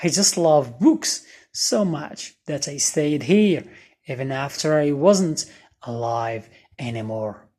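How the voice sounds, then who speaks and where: A storyteller voicing a shy, lonely and gentle boy ghost in a children's bedtime story, speaking earnestly. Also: mid-range voice, lively intonation, takes it slowly.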